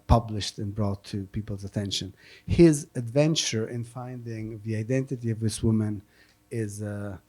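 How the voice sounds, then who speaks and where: A man hosting and interpreting at an event, speaking off the cuff in a halting, hesitant way. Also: lowish voice, dark tone. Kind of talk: unscripted event talk.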